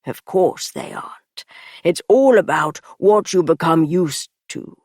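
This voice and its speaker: A woman voicing a calm, kindly bear creature who cooks for the group, in a low reassuring voice. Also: takes it slowly, no room sound, clean studio sound, heavily acted. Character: calm, kindly bear-folk camp cook.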